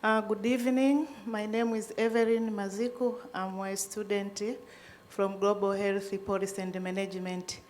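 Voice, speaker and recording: A woman's voice: mid-range voice, little pitch movement, moderate pace, a little mellow, slight background noise. A middle-aged woman with a Tanzanian accent, a student making an earnest, unscripted comment from the audience.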